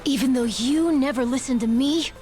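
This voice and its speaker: A plucky young boy voiced by a woman in a video game drama. The voice is very high, earnest and spirited, with music underneath. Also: moderate pace, a little mellow, natural voice. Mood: pleading, defiant.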